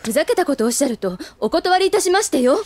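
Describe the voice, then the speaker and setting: Anime drama casting: refined, strict rich schoolgirl, high voice, brisk prim delivery. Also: very animated, darkish tone, dry recording. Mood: stern. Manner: formal, prim. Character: proper, strict, refined upper-class teenage schoolgirl. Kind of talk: anime audio drama acting.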